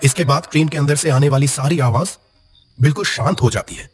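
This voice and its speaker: A man's voice: a little high, fairly quick, husky. A man narrating a scripted horror story dramatically.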